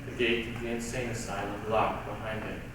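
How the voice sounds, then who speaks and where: A man's voice: on the low side, little pitch movement, fairly quick, natural voice. A man playing a dramatic storyteller with a derisive edge in a staged readers' theatre piece. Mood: grave. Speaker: narrator and mocking onlooker in a stage reading. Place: large indoor room or hall, distant microphone. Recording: echoey hall, distant mic, loud noise.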